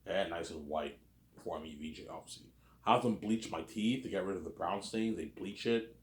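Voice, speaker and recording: Deep-voiced rambling man in his thirties. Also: moderate pace. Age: 30s. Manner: repetitive, keeps adding "obviously" and "man". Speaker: vlogger. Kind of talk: rambling personal monologue. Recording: roomy echo.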